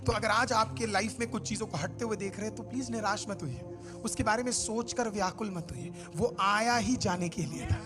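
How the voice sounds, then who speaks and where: A high-voiced male pastor preaches, dropping to quiet asides and then rising to shouted calls. Music plays clearly behind him throughout the sermon. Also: brisk. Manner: swings from hushed asides to shouted exhortation. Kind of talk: Hindi sermon with English phrases.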